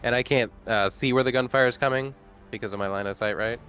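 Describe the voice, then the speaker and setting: A man's voice: mid-range voice, steady tone, fast, fairly bright tone, clear, resonant tone, soft background music. A man chatting casually as a player in a tabletop game, talking through his moves.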